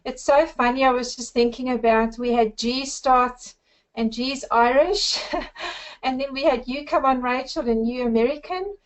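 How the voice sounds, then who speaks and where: A woman in her forties from South Africa with a South African accent, giving an effusive, upbeat sales pitch on a group call. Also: unhurried, poor audio. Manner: effusive, upbeat, addresses listeners as friends. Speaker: network marketing presenter.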